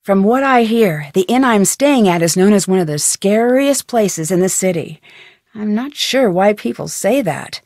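A woman playing a young female amateur detective in a video game, curious, upbeat and sensible, speaking in a clearly enunciated, conversational way. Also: medium pitch, lively intonation, unhurried, a little mellow, no echo, studio quality. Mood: bright, intrigued. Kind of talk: video game voice acting, scripted lines and letter narration.

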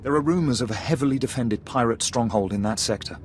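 A man's voice: medium pitch, quick pace, a little hiss or hum, close to an everyday voice. An actor playing an anxious male junior officer in a video game, reporting in a formal, deferential way. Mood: formal, worried. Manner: deferential, crisp military reporting. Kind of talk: scripted video game acting.

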